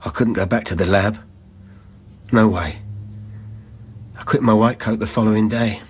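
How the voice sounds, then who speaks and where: A man's voice: fairly low pitch, steady tone, moderate pace, husky. A man playing a guilt-ridden former lab worker, giving a plain-spoken rhyming confession in a poetic radio drama. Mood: guilty, anxious.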